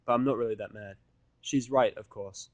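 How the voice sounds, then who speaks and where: Guy narrating a story in first person, medium pitch, deadpan and grumbly, no room echo. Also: normal speed. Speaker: audiodrama reader. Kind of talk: first-person dramatic fan fiction narration.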